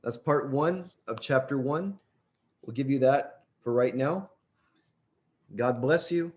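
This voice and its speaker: A man's voice: medium pitch, slow pace, darkish tone. A man reading a religious book aloud in an earnest, emphatic way, stressing key phrases. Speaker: audiobook reader.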